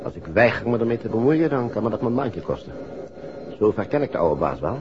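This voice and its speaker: Weary, blunt businessman, low voice, grumbling radio-play delivery, heavy noise on vintage tape. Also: sing-song, fairly quick. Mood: resigned, worried. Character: busy, weary businessman fretting over a family quarrel. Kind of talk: 1960s Dutch radio drama acting. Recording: dull, noisy old radio recording.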